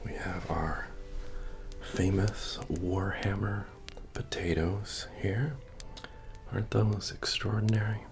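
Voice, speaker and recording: Man playing a very deep, slow-talking tavern keeper. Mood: friendly, helpful. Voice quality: deep, soft, often dropping to whisper. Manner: unhurried, hospitable, murmuring to a guest. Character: friendly humble medieval fantasy tavern innkeeper, chatty and hospitable. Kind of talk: ASMR roleplay. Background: soft bard music.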